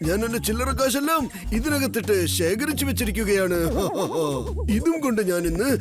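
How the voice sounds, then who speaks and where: An actor playing a timid, fussy older mayor in a cartoon dub, stammering and squealing with fright. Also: male voice, sits high, sing-song, moderate pace. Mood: explaining. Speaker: dubbing actor.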